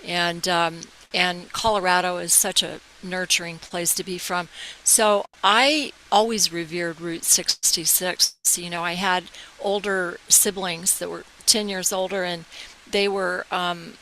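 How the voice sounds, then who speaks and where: Bright-voiced older American woman, lowish pitch. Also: steady tone, measured pace, poor audio. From United States, military family abroad. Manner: rambling, storytelling. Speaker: interview guest, organization founder. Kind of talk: casual reminiscing interview talk. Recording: clear hiss behind the voice.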